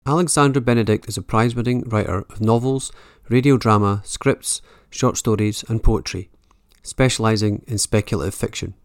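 A man's voice: fairly low pitch, normal speed, few pauses, clean tone. A man speaking as the interviewer on a books podcast.

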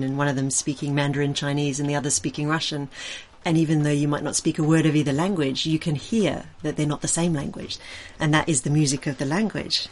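A woman with a fairly low voice introduces her guest from a script. She then asks her questions in a measured, articulate way. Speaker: interviewer and presenter.

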